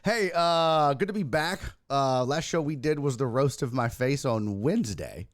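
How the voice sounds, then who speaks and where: Hoarse, raspy-voiced man hosting a stream. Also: mid-range voice, animated, normal speed, dry recording, studio quality. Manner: crude, self-mocking banter with chat. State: hoarse, losing his voice. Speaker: host. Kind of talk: casual livestream sports talk.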